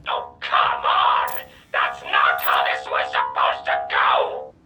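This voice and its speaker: An actor playing an embittered, indignant older forger with a grudge, menacing and boastful, in a sci-fi video game. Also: male voice, rumbling low, monotone, takes it slowly, gravelly, full character voice. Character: embittered, grudge-bearing retired male forger in hiding. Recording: very loud music behind.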